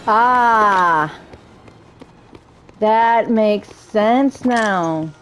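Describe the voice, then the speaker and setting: A woman's voice: mid-range voice, drawn-out words, fairly bright tone, slight background noise. A woman casually commentating a video game as its host, chatting and thinking aloud and sometimes reading the on-screen text.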